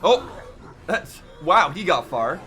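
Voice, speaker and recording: A man's voice: fairly high pitch, very animated, slow, sharp tone, soft background music. A man giving casual reaction commentary on game cutscenes, musing and thinking aloud as he goes. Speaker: reaction video host.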